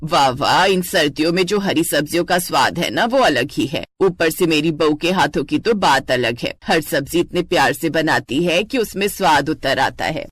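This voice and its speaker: A woman's voice: deep voice, animated, normal speed, hardly any pauses, slightly rough, no echo, pitched below the performer's own voice. A woman voicing an elderly, homely mother-in-law who is proud and doting in a moral cartoon story. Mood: delighted praise. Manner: warmly praising, homely advising.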